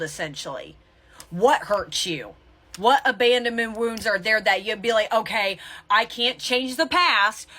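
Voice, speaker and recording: Woman, spiritual coach type, higher-pitched and sharp, forceful tough-love pep talk straight to viewers. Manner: blunt, emphatic tough-love delivery, swears casually. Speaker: tarot reader and spiritual coach.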